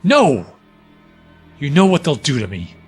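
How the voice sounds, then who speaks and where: A man's voice: a little high, huge pitch swings, measured pace, breathy edge, soft background music, heavily acted. An actor playing a weary, frightened husband and father in an audio drama, pleading and defensive. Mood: panicked, pleading.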